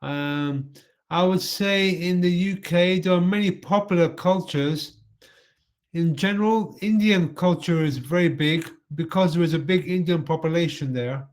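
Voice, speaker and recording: A man from London leading a casual English conversation, polite and steady, asking guiding questions. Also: fairly high pitch, a little slow.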